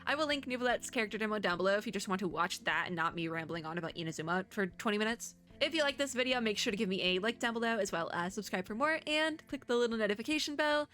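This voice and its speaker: A woman with a bright, forward voice and a medium pitch gives casual reaction commentary. She talks like a chatty video host, rambling and restarting her thoughts as she goes. Soft music plays behind her. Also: animated, fast, few pauses. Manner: chatty, rambling, self-interrupting. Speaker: YouTuber host.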